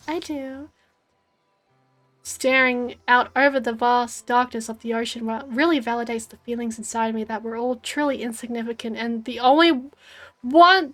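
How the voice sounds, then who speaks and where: A hoarse young woman with an Australian accent, reading aloud as a bitter, vengeful ghost woman in a slow, quiet, brooding way. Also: high voice. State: hoarse, losing her voice.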